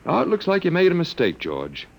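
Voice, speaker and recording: A man's voice: a little high, wildly swooping pitch, brisk, creaky, full character voice. A man playing a sardonic, resigned captive professor in a classic radio drama, musing and hesitating as he talks. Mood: grimly explaining. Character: wry, resigned male anthropology professor held captive by aliens.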